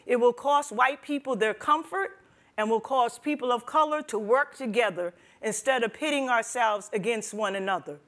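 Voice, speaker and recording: An older woman giving a prepared speech on a panel in a deliberate, solemn manner. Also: on the high side, a bit bright. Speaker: panel speaker, religious sister. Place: wood-panelled library room at a panel table. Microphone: lapel.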